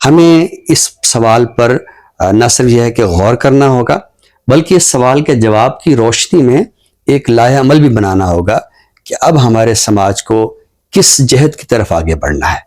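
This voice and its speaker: A man with a Pakistani accent speaking in a formal, scholarly, measured way on a literary talk show, pausing mid-sentence. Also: medium pitch, animated, a little mellow. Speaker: literary talk show guest and book reviewer. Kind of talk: unscripted book commentary and poetry recitation.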